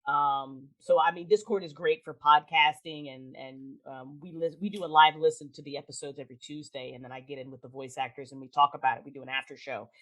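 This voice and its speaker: A woman talking casually as a podcast guest, with an animated, emphatic, informal way of speaking. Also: low-pitched, brisk, few pauses. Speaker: podcast guest, audio drama creator. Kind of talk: casual interview chat.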